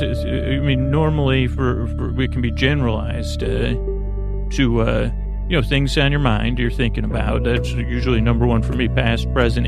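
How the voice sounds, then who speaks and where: Creaky-voiced man rambling sleepily. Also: medium pitch. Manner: meandering, halting, drifts off topic. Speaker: podcast host. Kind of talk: rambling bedtime monologue meant to lull listeners to sleep.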